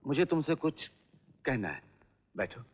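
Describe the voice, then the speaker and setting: A man playing a gentle, concerned elderly father in an old film drama. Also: mid-range voice, sing-song, a little slow, music in the background. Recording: dull old film track, long reverb.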